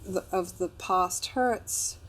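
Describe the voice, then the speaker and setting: A woman's voice: fairly low pitch, takes it slowly, fairly bright tone. A woman working as a couples therapist in a session, probing with questions, paraphrasing and checking in.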